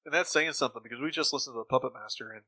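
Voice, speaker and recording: A man's voice: mid-range voice, racing, pushed and bright, no room sound. A man co-hosting a podcast, giving his opinions in casual discussion. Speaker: podcast co-host. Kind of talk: casual critical discussion of old radio shows.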